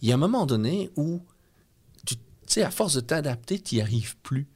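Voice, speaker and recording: A man's voice: fairly high pitch, sing-song, normal speed, a little mellow, slightly rough. A middle-aged man from Belgium with a Belgian French accent, a guest in an interview explaining his ideas in an earnest, persuasive way. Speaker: guest speaker. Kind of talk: interview conversation.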